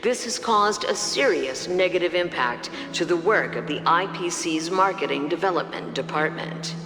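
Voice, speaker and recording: A woman's voice: lowish voice, animated, moderate pace. A woman playing a formal, impassive news broadcaster reading a scripted bulletin in a video game. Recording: echoing reverb, music behind.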